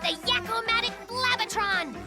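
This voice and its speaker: A performer voicing an excitable, boastful little brown bear cub in a children's cartoon. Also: very high-pitched, normal speed, creaky. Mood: excited, boastful. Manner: exclamatory, thinking out loud, enthusiastic. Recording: music behind the voice. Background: children's cartoon music.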